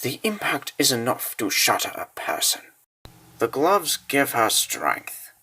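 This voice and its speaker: A man narrating a comic dub as a scripted dramatic story, grave and deliberate with theatrical pauses. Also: mid-range voice, sing-song, over music.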